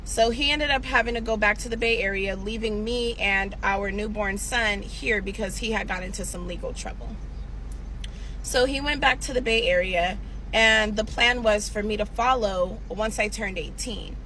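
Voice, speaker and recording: A chatty young woman, telling her own story to viewers in a candid, conversational way. Her voice sits at an ordinary middle pitch, and there is a faint hum of noise in the background. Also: moderate pace, pushed and bright, poor audio. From San Diego, California. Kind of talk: casual story time vlog.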